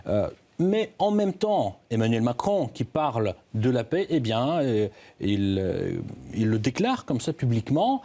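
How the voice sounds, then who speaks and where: A man's voice: medium pitch, big pitch swings, mellow. A man giving live news analysis as a correspondent, hesitant, often restarting his words. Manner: halting, hesitant delivery with repeated word starts.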